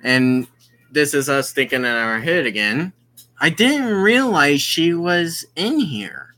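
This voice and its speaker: A gamer reading a visual novel aloud with casual commentary, halting and often explaining who is speaking. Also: medium pitch, big pitch swings, sharp tone. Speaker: gaming video host.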